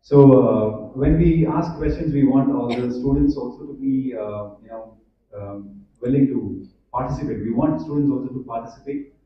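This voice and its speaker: A man's voice: mid-range voice, moderate pace. A man with an Indian English accent giving a conference talk to students, chatty and full of fillers, often asking the audience questions. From Hyderabad, India. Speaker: conference speaker. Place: large echoey college hall. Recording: echoey hall, distant, dull.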